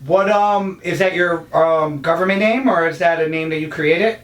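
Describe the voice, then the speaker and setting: A man's voice: fairly high pitch, normal speed, poor audio. A man interviewing a musician, asking short, hesitant questions full of fillers.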